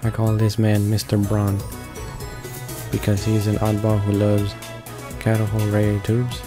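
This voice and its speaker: A man reading a visual novel aloud as a let's play narrator, haltingly, stumbling and repeating words. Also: on the low side, monotone. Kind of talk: read-along of a visual novel. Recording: voice-over with game music behind.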